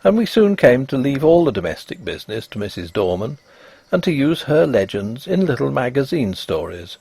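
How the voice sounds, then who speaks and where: A man narrating a literary story in a measured, expressive storytelling manner, as for an audiobook. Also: mid-range voice, gravelly, slightly rough, no room sound.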